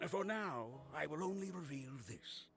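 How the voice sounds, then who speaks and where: A woman's voice: deep voice, exaggerated voice. A woman voicing a proud, self-important male scholar who lectures in a pompous way.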